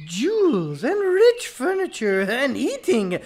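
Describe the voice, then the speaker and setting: Farce role for a woman: sly rogue posing as fawning maid, extremely high, wildly swooping, archaic and wailing. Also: wildly swooping pitch, slow, a little mellow, slight background noise, heavily acted. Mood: coy, fawning. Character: sly male rogue disguised as a weeping, simpering maidservant. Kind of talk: farcical period audio drama acting.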